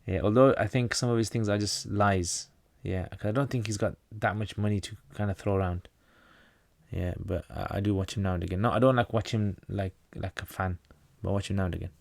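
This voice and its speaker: A man's voice: lowish voice, fairly even intonation, fairly quick, gravelly, a little mellow, dry recording. A man from North Pakistan, a native Pashto speaker, hosting a casual livestream Q&A. He reads out viewer questions and answers them plainly, often restarting his sentences.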